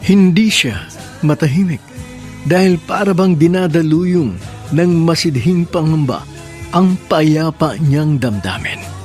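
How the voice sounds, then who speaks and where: A male narrator opening a radio drama with florid, poetic lines. His voice sits slightly high. Soft background music plays under his narration. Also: lively intonation, takes it slowly.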